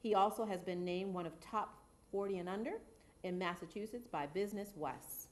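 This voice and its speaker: A woman's voice: medium pitch, moderate pace. A woman hosting a talk show from a TV studio, reading formal guest introductions and asking questions with encouraging murmurs.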